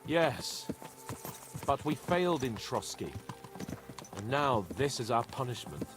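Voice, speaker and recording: An actor playing a proud, petulant young nobleman in a medieval video game, complaining in an expressive, haughty way. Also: mid-range voice, takes it slowly. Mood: bitter. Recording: loud game music behind.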